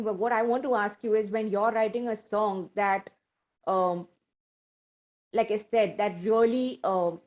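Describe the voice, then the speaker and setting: Lady interviewer gushing through long questions, kinda dull lo-fi sound. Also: mid-range voice. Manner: warm, effusive praise with many fillers. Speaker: interviewer host.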